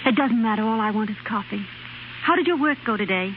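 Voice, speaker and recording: An actress in a 1930s radio drama playing a tired, devoted young working wife, earnest and gently pleading, on a scratchy, tinny recording. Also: female voice, medium pitch, fast, close to an everyday voice. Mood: weary, gentle.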